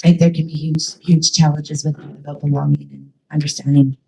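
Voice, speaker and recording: A woman speaks to a church with a low, husky voice that has a gravelly edge. Her tone is dark and mellow. She talks in a chatty, enthusiastic way, full of fillers. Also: level tone, a little fast, low-quality recording. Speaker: church youth ministry leader. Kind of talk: casual church talk.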